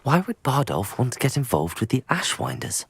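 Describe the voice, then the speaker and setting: An actor playing a polite, earnest young wizard student in a video game, with a courteous manner. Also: male voice, medium pitch, big pitch swings, normal speed, gravelly, slightly rough, exaggerated voice. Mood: polite, attentive. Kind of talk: video game character acting.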